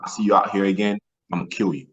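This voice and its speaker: A man's voice: low voice, flat delivery, rapid-fire, muffled recording. A man from northern Nigeria, a podcast guest, telling his own story in a casual, colloquial way. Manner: colloquial, peppered with "man" and "you know". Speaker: podcast guest, former athlete.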